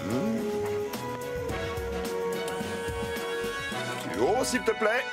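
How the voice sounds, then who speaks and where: A man playing an exacting, jovial vehicle inspector in a children's cartoon dub, theatrical and deliberate, humming to himself. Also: high-pitched, wildly swooping pitch. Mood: brisk, exacting. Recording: loud music behind the voice. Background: music.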